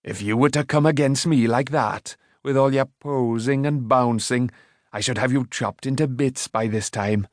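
Storybook old smith, done by a male narrator: stout, gruff yet kindly, commanding, dryly teaching. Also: mid-range voice, normal speed, no room sound, studio quality, natural voice. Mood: amused. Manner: instructive, wry, commanding. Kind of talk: storybook character voice.

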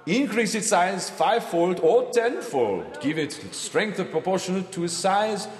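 Declamatory professor in an echoing hall. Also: male voice, high voice, animated, unhurried. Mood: insistent. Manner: declamatory, pompous, formal. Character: male professor proclaiming his sea-monster theory to assembly. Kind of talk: dramatized public lecture. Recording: echoing large hall reverb.

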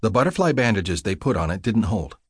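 A man voicing a female nurse in an audiobook, caring but firm and gently bossy, soothing and scolding. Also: fairly low pitch, big pitch swings, brisk, husky, no room sound, studio quality. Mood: chiding, teasing.

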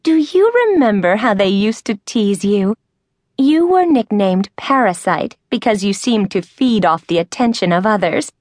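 Storybook role: woman doing a chatty, effusive short woman, lifted pitch. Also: dry recording, clean studio sound. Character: gushing short woman reuniting with childhood friend.

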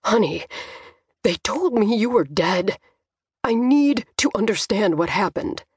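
A woman plays a middle-aged dad in an audiobook, frantic and fiercely protective. He speaks urgently and gruffly, barking his words with raw emotion, in a close, dry recording. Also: mid-range voice, lively intonation, normal speed, no echo. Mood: anguished.

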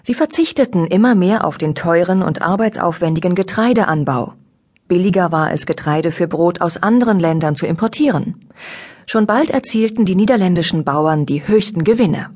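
A woman narrating a scripted children's audiobook in a measured, explanatory way. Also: on the low side, animated, a little mellow.

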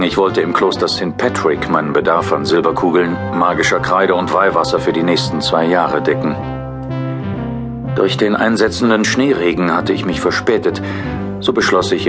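Actor as calm detective hero: deep male voice, scripted audio drama narration over loud music. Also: moderate pace, lightly acted. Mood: calm, matter-of-fact. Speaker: first-person narrator.

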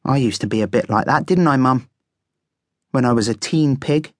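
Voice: medium pitch, moderate pace, no echo, clean studio sound, lightly acted. A narrator voicing a laid-back, helpful young male guinea pig in a children's story, casual and matter-of-fact.

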